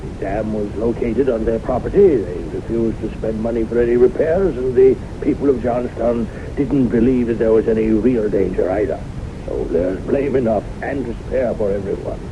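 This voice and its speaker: A man's voice: normal speed, lightly acted. An actor playing a genteel, skeptical male anthropologist talking to an old friend in a 1970s radio drama. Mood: reasoning, doubtful. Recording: noisy, rumbling, muffled 1970s radio recording.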